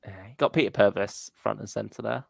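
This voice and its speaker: Male co-host, gravelly and dry-sounding, thinking aloud in relaxed fan discussion, trailing thoughts. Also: lowish voice, normal speed, no room sound. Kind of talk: casual fan chat.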